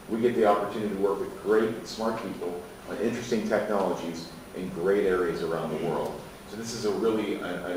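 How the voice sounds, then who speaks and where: A man giving a professional talk, presenting in a formal, measured way. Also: low voice, a little mellow. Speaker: presenter, technology commercialization expert. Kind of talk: self-introduction to an audience, professional talk. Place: echoey indoor presentation room. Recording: echoey room, distant mic, faint noise.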